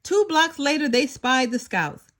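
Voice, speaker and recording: Woman, children's read-aloud narrator. High voice with very lively, expressive delivery. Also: unhurried. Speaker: storyteller reading a children's picture book aloud.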